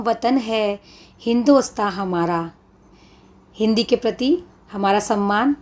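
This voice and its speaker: A woman hosting a poetry event, giving polite, formal introductions of each poet. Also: medium pitch. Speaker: program host. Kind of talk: formal stage announcing.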